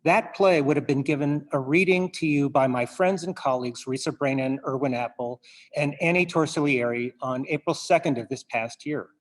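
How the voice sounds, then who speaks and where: A man, a playwright, addresses the audience in a theatrical monologue, wry and halting, given to wordplay. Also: medium pitch, low-quality recording.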